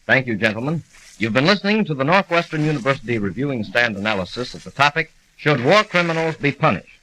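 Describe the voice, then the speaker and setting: Brief: American male 1940s radio announcer, bright forceful tone, scripted read, faint recording noise. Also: medium pitch, animated, fast, low-quality recording.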